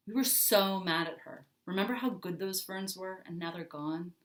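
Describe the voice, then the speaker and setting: Woman reading as a gruff, exasperated older boy. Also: lowish voice, moderate pace, close to an everyday voice. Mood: exasperated, firm. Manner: firm, insistent. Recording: roomy, echoing indoor sound.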